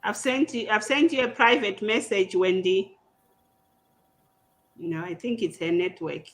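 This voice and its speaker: Slow-speaking Zimbabwean woman host. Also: mid-range voice. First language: Shona. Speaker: podcast host. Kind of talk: conversational hosting of an online panel. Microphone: video call.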